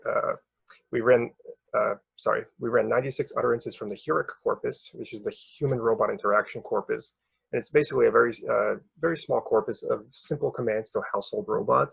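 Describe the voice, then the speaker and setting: Male academic presenter, very husky and gravelly, halting delivery, slightly muffled recording. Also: on the low side, no room sound. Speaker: researcher presenting a paper.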